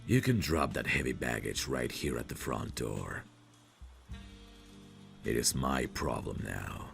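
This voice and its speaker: Man acting a very deep, gravelly mafia boss. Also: full character voice. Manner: slow, deliberate, self-assured, coaxing with pet names. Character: possessive, protective mafia boss, smooth-talking and menacing. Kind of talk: audio roleplay, intimate monologue to a listener.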